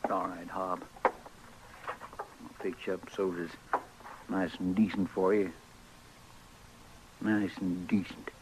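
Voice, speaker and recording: An actor playing an excitable, grumbling but loyal frontier deputy with a folksy rural Western drawl in an old-time radio western. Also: male voice, deep voice, takes it slowly, pauses often. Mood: grieving, tender. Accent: rural American Western drawl. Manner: folksy, homespun, drawling complaint. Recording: noisy vintage radio broadcast.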